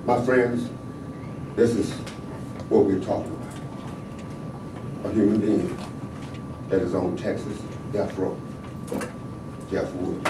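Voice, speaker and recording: Older man from the South giving a fiery podium speech, low voice, far off in an echoing hall. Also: steady tone, slow pace, pauses often, very noisy. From American South. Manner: rising to loud emphatic exhortation. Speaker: panel speaker. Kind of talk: impassioned activist speech. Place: large hall in a state capitol. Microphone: podium microphone. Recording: echoey hall, heard from afar.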